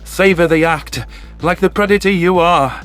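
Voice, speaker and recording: A man's voice: high-pitched, normal speed, fairly bright tone, faint music behind. A man voicing a bitter, hateful alien veteran in a dramatic, vehement telling of a sci-fi story. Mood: hateful, spitting.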